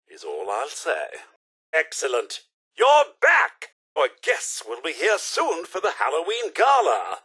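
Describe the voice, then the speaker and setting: An actor playing a fussy, haughty robot butler in a video game, with a British accent and pompous, theatrically polite delivery. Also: male voice, sits high, bright, forceful tone, husky, heavily acted. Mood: haughty, indignant, then polite, flustered. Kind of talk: video game character voice lines.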